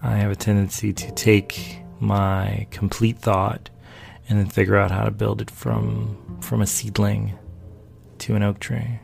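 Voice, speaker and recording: A man's voice: lowish voice, little pitch movement, unhurried, vocal fry, a little mellow, faint music behind. A man from North Carolina, a painter chatting casually to camera in a rambling, amused storytelling way. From North Carolina, USA. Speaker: painter and video maker. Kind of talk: casual talking-head chat.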